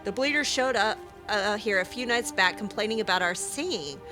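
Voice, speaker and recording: A woman reading out a grumbling male bandit's journal, in a gruff, complaining way. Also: medium pitch, normal speed, music in the background, close to an everyday voice. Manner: gruff complaining, swears "bleeding".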